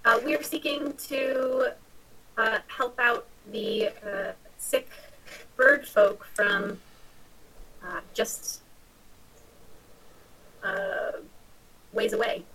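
A woman's voice: a little high, fairly even intonation, drawn-out words, pauses often, pushed and bright, distant mic, rough recording. A woman playing in a tabletop game, speaking hesitantly with many fillers. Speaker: player.

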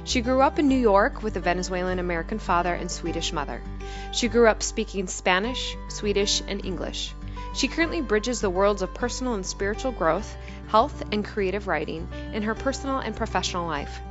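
A woman's voice: medium pitch, fairly quick. A woman podcast host reading a scripted introduction of her guest in an eager, enthusiastic way. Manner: warm, enthusiastic read. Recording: dull audio with music behind.

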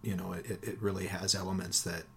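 A low-voiced man hosting a book podcast, talking in a relaxed, halting way full of little fillers. Faint noise hums behind him. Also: vocal fry. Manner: relaxed, hesitant with many uh fillers. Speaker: podcast host. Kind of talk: interview podcast.

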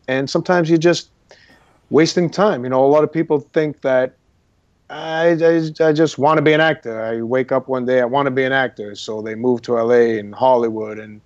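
A man's voice: mid-range voice, darkish tone, dry recording, dull audio. A man from Boston, an acting coach, talking earnestly as a guest in a casual interview and repeating words for emphasis. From Boston, Massachusetts.

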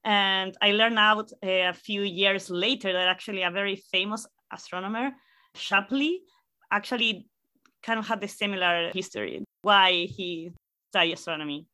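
A Chilean woman in her thirties speaks English with a Spanish accent, her voice bright and clear. She answers interview questions casually and thoughtfully, with small fillers as she recalls her past. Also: mid-range voice, moderate pace. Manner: warm, reflective storytelling with fillers. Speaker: guest astrophysicist.

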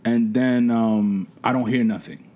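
A young man with a deep, mellow voice talks loosely through his story, dropping slang and circling back on himself. His tone is dark and easy, and his speech is that of a seasoned event host chatting on a podcast. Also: a little slow. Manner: relaxed, rambling storytelling with slang. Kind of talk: casual podcast conversation.

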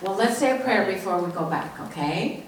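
A woman's voice: medium pitch, expressive, moderate pace. A woman leading a children's message in church, talking informally and simply to children. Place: church, indoors. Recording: distant, very echoey, noisy church recording.

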